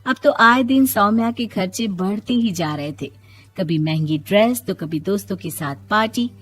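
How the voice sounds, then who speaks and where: A woman's voice: mid-range voice, expressive, moderate pace, a bit bright, slightly rough. A woman narrating a scripted moral story as a storyteller.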